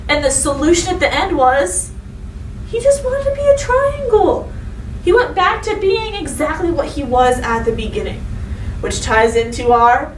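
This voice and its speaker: A woman with a high voice speaks as a teacher to young children. She is expressive and instructive, addressing listeners directly. The recording sounds roomy and distant, with faint noise. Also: normal speed. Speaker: elementary school teacher. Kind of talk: read-aloud storytelling and lesson for children.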